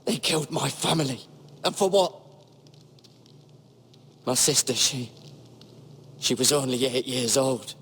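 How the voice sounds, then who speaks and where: A man's voice: mid-range voice, measured pace, pauses often, fairly bright tone, a little hiss or hum. A man playing a young, wounded squire in a fantasy video game, terse and earnest, loyal and bitter with grief. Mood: grieving, bitter. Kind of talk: video game drama acting.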